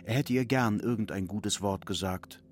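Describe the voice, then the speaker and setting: A man's voice: fairly low pitch, moderate pace, darkish tone. A man narrating a literary audio drama from a script. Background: music.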